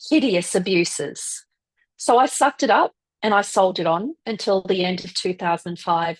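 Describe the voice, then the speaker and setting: A woman with a slightly low voice speaks as a guest storyteller. Her delivery is measured and articulate, shaping a first-person account with deliberate dramatic weight. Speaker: guest speaker, former military officer.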